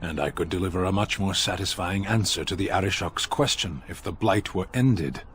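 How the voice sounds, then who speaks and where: An actor playing a proud, stoic giant warrior in a fantasy game, terse, deliberate and grave. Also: male voice, low voice, fairly bright tone, faint music behind. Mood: grave, resolved.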